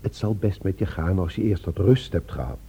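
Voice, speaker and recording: An actor playing a calm, concerned but firm superior and friend in a Dutch radio drama, speaking deliberately. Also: male voice, low voice, creaky, husky, muffled recording, full character voice. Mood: gently firm.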